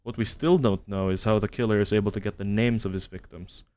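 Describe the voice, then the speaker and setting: A man narrating a horror commentary as a video essayist, measured and matter-of-fact, reading from a script. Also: fairly low pitch, steady tone, darkish tone. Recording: music under the voice-over. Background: background music.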